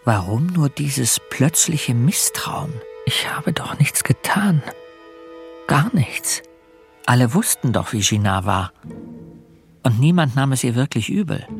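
A man narrates a radio play in a literary, scripted style. His voice is of medium pitch and slips into inner monologue, with faint music underneath. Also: animated, unhurried, gravelly.

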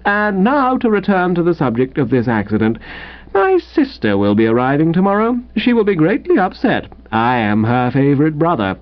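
A man acts out a smug young upper-class English gentleman for a comic audiobook, languid and patronizing. The voice sits high and swoops freely in an affected drawl, on slightly dull audio. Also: wildly swooping pitch, moderate pace.

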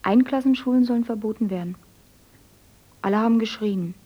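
An actress playing a young woman on a farm, retelling events in a hushed voice and softly musing to herself. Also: fairly high pitch, brisk, husky, muffled recording. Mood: hushed report. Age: young adult. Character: clever young woman, the miller's adopted daughter.

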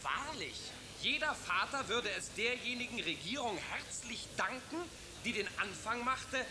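Mad high-pitched guy ranting in a radio play, far off, loud hiss behind. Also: expressive, unhurried. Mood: scornful. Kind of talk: radio drama.

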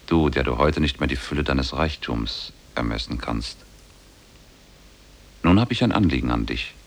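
A man's voice: very deep voice, exaggerated voice. A man playing a solemn, commanding spirit in the shape of an ape, speaking formally in a 1950s radio play. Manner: measured, solemn, formal storybook speech.

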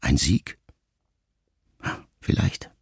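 An actor playing a melancholy, reflective writer son in a radio drama, speaking in measured, intimate, halting phrases as if to his mother. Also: darkish tone, dry recording, clean studio sound. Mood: quietly bitter.

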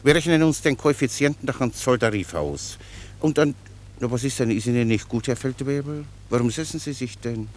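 An actor in a German radio play, playing a cheerful, long-winded common soldier who explains things pedantically with naive obedience. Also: male voice, medium pitch, expressive, brisk, close to an everyday voice. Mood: chatty, unruffled. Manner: chatty, rambling, pedantically explanatory.

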